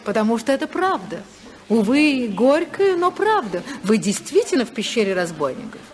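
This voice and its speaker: An actress playing a poised, teasing, rebellious society hostess in a 1950s radio drama. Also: female voice, a little high, very animated, normal speed. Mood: teasing, intense. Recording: 1950s radio, chattering crowd behind.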